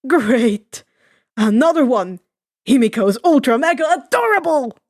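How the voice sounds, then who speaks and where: A woman voicing an excitable male villain in a story, blurting things and abruptly contradicting himself. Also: high-pitched, a little slow, exaggerated voice. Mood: excited muttering.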